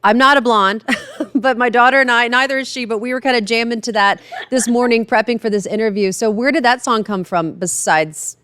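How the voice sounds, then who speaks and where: A woman's voice: a little high, a little fast, a bit bright, clean tone, no echo, clean studio sound. A middle-aged woman interviewing a guest for a TV news segment, encouraging and full of compliments. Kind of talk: friendly interview questions.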